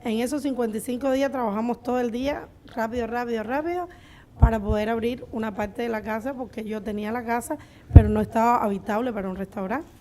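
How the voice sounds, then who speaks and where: A woman's voice: a little high, fast, warm tone, slight background noise. A woman with a Cuban accent speaking unscripted as a restaurant owner on a panel of entrepreneurs. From Cuba. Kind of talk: unscripted panel storytelling and answers.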